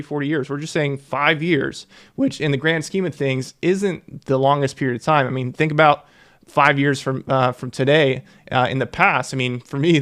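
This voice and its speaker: A man's voice: on the high side, a bit bright. Earnest man explaining investing numbers. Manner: earnest, repeats key figures for emphasis. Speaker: financial educator. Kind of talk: explainer to camera.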